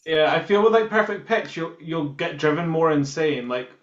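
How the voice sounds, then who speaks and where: A man's voice: a little high, expressive, normal speed, echoey room, highs cut off. A man with a Scottish accent chatting casually and joking as an interview guest. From Scotland. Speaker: band member being interviewed. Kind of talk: casual chat.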